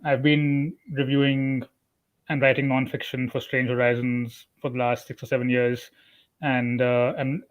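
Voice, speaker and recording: An Indian man with an Indian accent introducing himself on a panel, slightly hesitant. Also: mid-range voice. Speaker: panelist, writer and editor. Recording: dull lo-fi online call.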